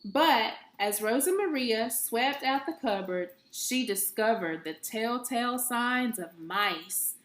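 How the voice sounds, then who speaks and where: Slow, echoey woman reading a children's story. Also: a little high, animated, a bit bright. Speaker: teacher reading aloud. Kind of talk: expressive children's story read-aloud. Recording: echoey, roomy sound.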